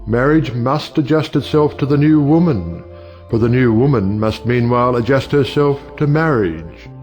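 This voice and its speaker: An actor playing a wry, provocative middle-aged judge and uncle in an audio play, speaking in a rhetorical, oratorical way. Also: male voice, mid-range voice, moderate pace, a little mellow, natural voice. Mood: persuasive, confident. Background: music.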